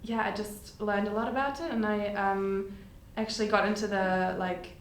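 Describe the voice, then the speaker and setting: Podcast guest: woman, early twenties, from Germany, flat-toned chatter, echoey room. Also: mid-range voice, normal speed. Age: 20s. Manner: chatty, many fillers. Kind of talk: casual chat. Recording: echoey room with faint noise.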